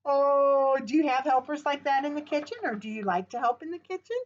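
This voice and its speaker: An older woman reading a picture book and talking warmly to young children at a virtual storytime. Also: high-pitched, fairly quick, highs cut off. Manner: animated and encouraging, gasps with delight, sings and chants rhymes. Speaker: children's librarian. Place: small office with window blinds, indoors.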